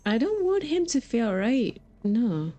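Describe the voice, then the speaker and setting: Chatty lady streamer, relaxed warm voice, just talking casually while gaming. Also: fairly high pitch, takes it slowly, faint music behind. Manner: chatty, informal. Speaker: variety game streamer. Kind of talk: casual livestream chat while playing.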